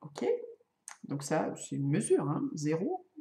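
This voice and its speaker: A middle-aged woman with a mellow, gravelly voice who is not a native French speaker. She chats to her viewers in a relaxed, self-mocking way, often pausing to hesitate. Her voice carries the echo of the room around her. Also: low voice. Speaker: knitting and crochet vlogger. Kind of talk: casual chat to camera.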